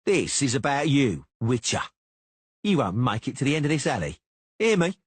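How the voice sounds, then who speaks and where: A man voicing a brash, hotheaded young male duelist who jeers and shouts his challenges, with a husky voice. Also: medium pitch, big pitch swings, normal speed, no room sound, voice pushed up. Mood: taunting. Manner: loud, jeering, shouting challenges.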